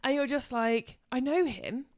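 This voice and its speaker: A woman co-hosting a casual live stream with her husband, chatty and quick to laugh, often trailing off. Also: sits high. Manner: chatty, laughs easily, trails off mid-sentence. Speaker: co-host of a motorcycle channel live stream.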